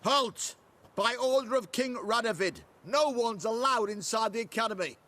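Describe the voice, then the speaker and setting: An actor playing a gruff, rule-bound but soft-hearted city guard in a video game, with a rough voice. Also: male voice, very high-pitched, normal speed. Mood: stern.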